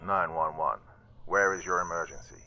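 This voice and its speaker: Audio story bit part: man playing a businesslike male emergency operator, low voice. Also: moderate pace, sharp tone, dull audio, faint music behind, heavily acted.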